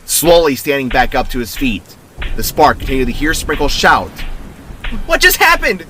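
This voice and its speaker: A man's voice: medium pitch, moderate pace, fairly bright tone, a little hiss or hum. A man narrating a scripted dramatic story as its storyteller.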